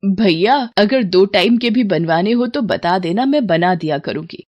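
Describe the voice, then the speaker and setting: A middle-aged Indian housewife , acted by a woman, devoted to her family and worn by hardship. She speaks earnestly and reassuringly at a medium pitch, as in an animated moral tale. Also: measured pace, muffled recording. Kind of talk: animated moral story dialogue.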